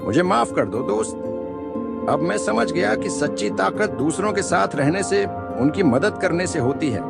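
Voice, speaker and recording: Man as a high, creaky-voiced lion king. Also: animated, normal speed, full character voice. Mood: apologetic. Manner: boastful, declamatory. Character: arrogant, bullying lion king of the jungle. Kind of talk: cartoon moral story acting in Hindi. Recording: loud music behind.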